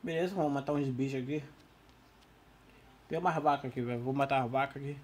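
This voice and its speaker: A Brazilian man who is losing his voice, giving casual, slangy, excitable gameplay commentary. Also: medium pitch, fast talker, breathy edge. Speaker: gaming YouTuber.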